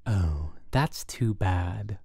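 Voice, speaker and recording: A woman's voice: very deep voice, animated, very slow, gravelly, warm tone, husky, no room sound, studio quality, full character voice. A woman voicing a young man in an audiobook who feigns a suave, mature, polite manner. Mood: hopeful, polite.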